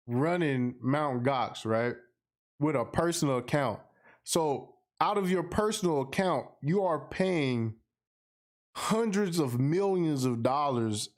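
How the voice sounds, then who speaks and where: An American man hosting a casual livestream podcast, speaking in a slangy, emphatic way and repeating phrases for effect. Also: mid-range voice. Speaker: podcast host. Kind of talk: casual livestream monologue, responding to viewer comments.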